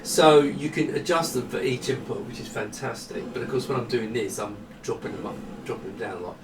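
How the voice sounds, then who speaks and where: The sound is roomy and a little distant, with faint noise behind it. A man with a creaky edge to his voice talks through a product demonstration. He is casual and unscripted, thinking aloud and putting himself down as he goes. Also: mid-range voice, a little fast. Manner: rambling, self-deprecating, thinking aloud. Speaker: hardware designer demonstrating a product.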